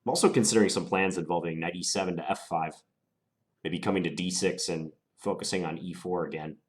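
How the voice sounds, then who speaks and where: Deep-voiced guy, stuffy from a cold, musing out loud about his chess moves. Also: steady tone. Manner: deliberate, musing, unhurried analysis. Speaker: chess player commentating his own game. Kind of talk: thinking aloud while playing.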